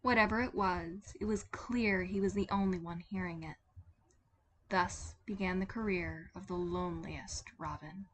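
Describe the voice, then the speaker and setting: A woman's voice: fairly low pitch, fairly even intonation, moderate pace, fairly bright tone, a little hiss or hum. A woman reading a story as a dramatic scripted narration. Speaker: podfic narrator.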